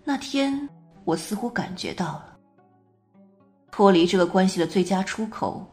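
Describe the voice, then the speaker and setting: A woman playing a troubled half-French wife who recounts a recurring dream in a measured amateur radio play monologue, with deliberate phrasing and pauses. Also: mid-range voice, expressive, a little mellow, faint music behind, natural voice. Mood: brooding, haunted.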